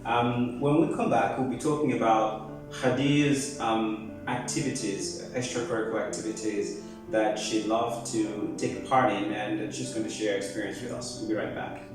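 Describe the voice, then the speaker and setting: A man's voice: lowish voice, fairly even intonation, moderate pace. A man hosting an interview, giving brief affirmations and announcer-style links between segments. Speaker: interviewer, show host. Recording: echoey room, mic far off, music behind.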